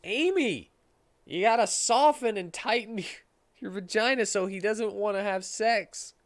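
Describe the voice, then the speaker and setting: A man's voice: high voice, a little slow, voice pushed up. A man voicing a brash young male cartoon hedgehog hero in a comic story reading. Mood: urgent advice.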